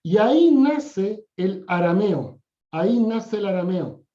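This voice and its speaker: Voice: mid-range voice, animated, slow, dark tone, echoey room, muffled recording. A Bible class teacher giving a classroom lecture, often repeating phrases and correcting themself mid-sentence. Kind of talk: classroom lecture on scripture history.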